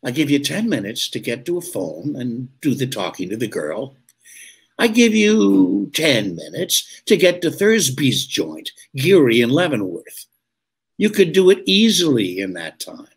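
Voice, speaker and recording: An actor playing a suspicious police lieutenant who questions bluntly and insistently, in 1940s radio drama style. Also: male voice, medium pitch, big pitch swings, a little slow, slightly rough, exaggerated voice. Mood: accusing.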